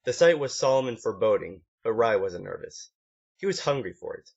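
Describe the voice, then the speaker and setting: A man's voice: on the low side, big pitch swings, fairly quick, vocal fry, a little mellow, husky. A man reading a story aloud as an amateur, in one take, now and then stumbling and correcting himself. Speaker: amateur fan fiction reader. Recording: dull lo-fi home recording.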